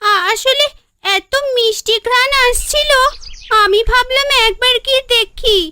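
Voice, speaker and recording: A small, innocent village girl in a cartoon. The voice is extremely high, childlike and pleading, with clear noise behind it. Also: measured pace. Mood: eager, innocent. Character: small hungry village girl, sweet and plaintive. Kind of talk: cartoon voice acting. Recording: clearly noisy background.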